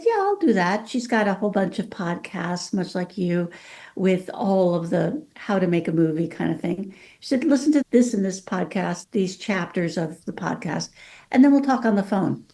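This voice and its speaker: A woman's voice: medium pitch, expressive, moderate pace, mellow. Chatty woman author with a Californian accent. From Mexico City. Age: 50s. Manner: chatty, many fillers, voices her own past thoughts. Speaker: author, guest. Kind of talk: casual interview talk.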